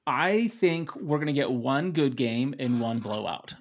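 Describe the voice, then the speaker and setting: A man from the Netherlands, co-hosting a casual sports podcast, easygoing and quick to laugh. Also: medium pitch, lively intonation. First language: Dutch. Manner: easygoing, laughs often, repeats words. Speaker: co-host.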